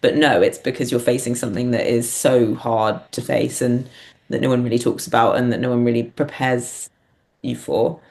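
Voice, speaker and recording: A woman's voice: very deep voice, level tone, moderate pace, darkish tone. A woman speaking as an interview guest, reflective and hesitant, thinking aloud as she goes. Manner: hesitant, thinking aloud, punctuated by small laughs. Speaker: guest, writer-performer.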